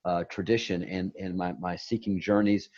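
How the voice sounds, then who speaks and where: A man's voice: low-pitched, steady tone, moderate pace, a little mellow, muffled recording. A man talking casually and at length on a meditation call, rambling with many restarts and repeated words. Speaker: guest on a meditation talk. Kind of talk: casual spiritual chat.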